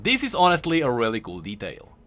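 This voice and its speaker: A man's voice: mid-range voice, huge pitch swings, fairly quick, fairly bright tone. A man doing an enthusiastic, conversational voice-over commentary as a video host. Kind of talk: game lore commentary voice-over.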